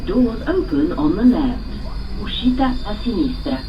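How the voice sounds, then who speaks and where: A woman's voice: mid-range voice, very animated, measured pace. A woman voicing an impersonal metro announcer with a formal, melodic delivery. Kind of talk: transit announcement. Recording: distant dull announcement in loud noise.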